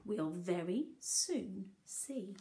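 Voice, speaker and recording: Woman, early years teacher, highly animated storytelling, coaxing questions, mid-pitched voice. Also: very slow, gravelly, slight background noise. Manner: expressive, coaxing, asking the listeners questions. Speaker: early years teacher reading to young children. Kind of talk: animated picture-book read-aloud with asides.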